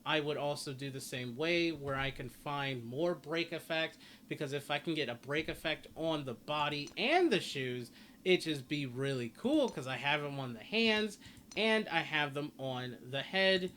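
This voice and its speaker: A man with a fairly high-pitched voice talks through a video game guide in a casual way. He rambles, restating points and rewording as he goes. There is some noise behind his voice. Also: lively intonation, measured pace, fairly bright tone. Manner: rambling, repeats and rephrases himself. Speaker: gaming video creator. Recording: noticeable background noise.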